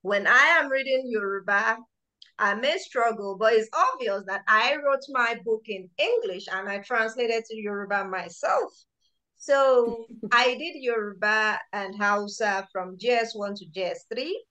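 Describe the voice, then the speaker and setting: A woman hosting an online lecture over a video call, chatty and excitable, often repeating words as she starts sentences. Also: medium pitch, a little slow, low-quality recording. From Nigeria. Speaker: lecture chair and chief speaker.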